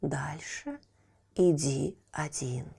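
Woman doing a big gentle mama tiger, slow and solemn, soft dark tone, fairy tale style. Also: low voice, creaky, breathy edge, pitched below the performer's own voice, full character voice. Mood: solemn, kindly. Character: large calm grateful mother tigress.

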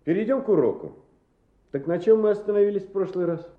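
A man's voice: sits high, quick pace, natural voice. A man playing an authoritative, indulgent official in a Soviet radio play. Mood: stern, amused. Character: authoritative adult official or school director, indulgent. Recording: tinny, echoey old radio play recording.

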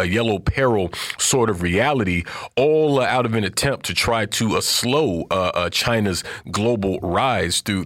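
Deep-ish guy hosting a talk show, lots of uhs and restarts, dry sound. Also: a bit bright, no echo. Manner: hesitant, many fillers and restarts while framing points. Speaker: host. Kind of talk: podcast discussion.

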